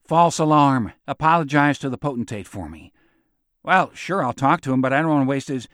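A man narrating a story, voicing a gruff, hot-tempered male enforcement chief who talks in curt, menacing barks. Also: mid-range voice, lively intonation, brisk, a bit bright, no echo, studio quality, natural voice. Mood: harried, deferential.

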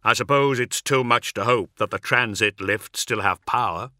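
An impatient armoured warrior from an audiobook, played by a male narrator, with a forceful, sharp-edged voice. He is blunt, angry and demanding. The recording is dry and close. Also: mid-range voice, moderate pace, dry recording.

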